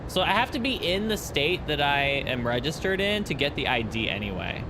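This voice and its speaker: A man's voice: a little high, big pitch swings. Chatty young man streaming, noisy mic. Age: 20s. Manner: chatty, rambling, quick asides to chat. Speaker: flight sim streamer. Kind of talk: casual chat with stream viewers.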